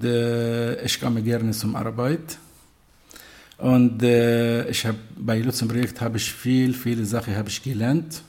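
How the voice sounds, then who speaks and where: A man in his fifties with a gravelly voice and a fast delivery introduces himself. His first language is Arabic. He stops often to search for the right word. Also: mid-range voice, darkish tone. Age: 50s. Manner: halting, searching for words. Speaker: integration guide. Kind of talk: personal self-introduction.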